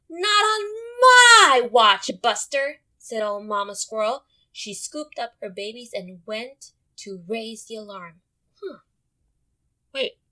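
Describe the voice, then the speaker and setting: A woman teacher giving an expressive read-aloud lesson, speaking directly to young readers and repeating her questions. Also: fairly high pitch. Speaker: elementary school teacher.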